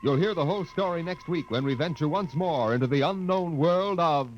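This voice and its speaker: A man's voice: fairly high pitch, brisk, a little hiss or hum. A man narrating a dramatic, scripted 1950s radio trailer. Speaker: radio announcer. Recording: dull vintage radio recording. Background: music.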